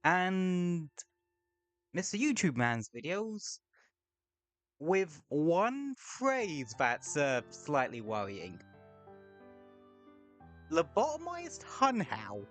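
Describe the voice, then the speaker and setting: A man's voice: a little high, huge pitch swings, drawn-out words, pauses often, soft background music. A man streaming a game, talking casually in rambling, sweary asides at home. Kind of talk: casual gameplay commentary. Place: home room with window open.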